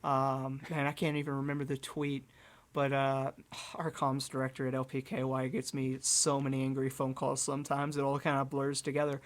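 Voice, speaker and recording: A man's voice: medium pitch, completely flat delivery, normal speed. A party chairman in a casual political radio discussion, joking wryly. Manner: joking, wry, acts out mock quotes. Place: radio studio.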